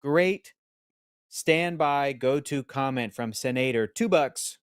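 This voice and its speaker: A man's voice: medium pitch, takes it slowly, smooth, no echo. Playful man reading chat, dry mic. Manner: playful, teasing, reads viewer comments aloud. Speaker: podcast co-host reading chat comments. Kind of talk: casual live-stream chat.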